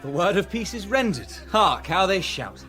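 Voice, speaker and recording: An actor playing a proud, cold, commanding young prince in a classical radio drama, with declamatory authority. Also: male voice, sits high, big pitch swings, normal speed, over music. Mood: smoothly assuring.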